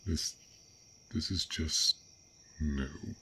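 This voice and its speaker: A man voicing a gentle, bashful dragon in an intimate fantasy audio roleplay, speaking haltingly in formal, old-fashioned words. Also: very deep voice, a little hiss or hum, heavily acted. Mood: flustered, hesitant. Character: fearsome but gentle, bashful, curious male dragon. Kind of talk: roleplay audio acting, intimate one-sided dialogue.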